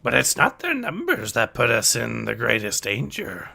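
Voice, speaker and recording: A man's voice: medium pitch, wildly swooping pitch, normal speed, vocal fry, sharp tone, slightly rough, heavily acted. A man voicing a small ancient alien Jedi master in a dramatic comic read-aloud, wise and solemn, with inverted word order and musing hums. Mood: solemn, teacherly.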